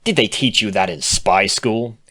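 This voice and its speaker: A man's voice: on the low side, unhurried, pushed and bright. A man voicing a defensive, laid-back female cartoon unicorn, grumbling with sardonic reluctance in a storybook reading. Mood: sharp.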